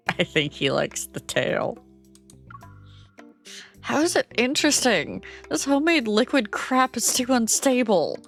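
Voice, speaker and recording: A woman's voice: on the high side, very animated, slow, vocal fry, long pauses, faint music behind. A woman streamer reading a game aloud and reacting with playful, giggly commentary.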